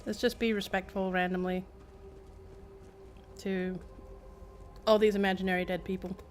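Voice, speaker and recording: A woman's voice: mid-range voice, little pitch movement, moderate pace, a little hiss or hum. A woman with a mid-range voice comments casually on the game she is streaming. She rambles in a chatty way and adds wry remarks as she goes. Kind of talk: casual live gameplay commentary.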